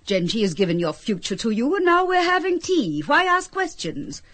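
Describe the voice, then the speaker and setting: A woman's voice: high voice, sing-song, moderate pace, a bit bright, dry recording. Theatrical otherworldly woman, extremely melodic, serenely chiding, dry radio-play sound. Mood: gently coaxing. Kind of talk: radio drama acting.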